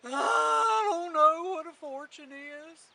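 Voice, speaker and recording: A woman's voice: very high-pitched, lively intonation, slow pace, vocal fry, bright, forceful tone, far from the mic, slight background noise, exaggerated voice. A woman voicing a weepy old donkey in a storybook tale, with braying and rural dialect. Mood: wailing.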